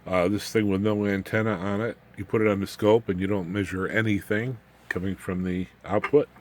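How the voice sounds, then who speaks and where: A man's voice: lowish voice, steady tone, a little fast, a little hiss or hum. A man giving an informal, unscripted technical walkthrough of a home-built electronics project in a folksy, jokey, rambling way. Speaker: amateur radio hobbyist presenting his home-built project.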